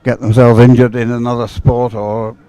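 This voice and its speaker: Male live sports commentator, soft-edged relaxed tone, unscripted, pausing and meandering as he thinks aloud. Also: medium pitch, clear, resonant tone, dry recording.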